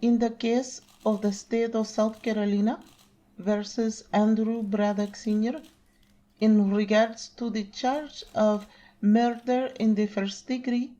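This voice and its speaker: A slow, solemn jury foreperson. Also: female voice, fairly high pitch, little pitch movement, dark tone, rough recording. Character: jury foreperson reading the verdict.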